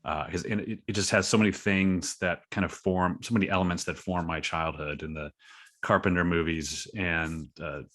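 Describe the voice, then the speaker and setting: A man's voice: low-pitched, steady tone, moderate pace, fairly bright tone. A man talking casually and earnestly as a guest in an interview, in a reflective way. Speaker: podcast guest, writer.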